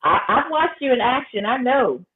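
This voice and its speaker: A woman high school principal, talking firmly and emphatically in a casual interview. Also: lively intonation, normal speed. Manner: emphatic, firm, repeats phrases for effect. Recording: tinny phone-like line.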